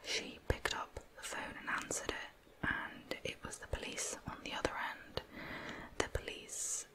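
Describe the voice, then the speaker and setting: A woman narrating in an ASMR style, entirely in a whisper, telling a story in a measured, matter-of-fact way. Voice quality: whispered, unvoiced. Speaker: ASMR true crime storyteller.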